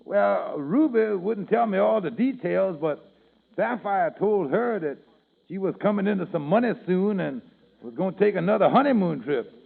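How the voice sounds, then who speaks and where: An easygoing friend with a high voice full of gravelly fry. He drawls his lines in the manner of 1940s radio comedy. The sound is thin and tinny, like an old radio show. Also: lively intonation, normal speed, full character voice.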